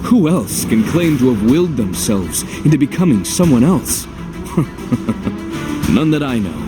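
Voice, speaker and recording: An actor playing a composed, confident warrior in a fantasy game, speaking in a formal, assured manner. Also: male voice, medium pitch, lightly acted. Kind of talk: scripted fantasy game acting. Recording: music behind.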